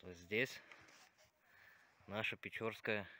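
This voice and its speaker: A man's voice: lowish voice, fairly even intonation, slow, sharp tone. Plain-spoken man, faint outdoor noise. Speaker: wood carver, guest showing his work. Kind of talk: casual chat and explanation. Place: outdoors at his garden plot.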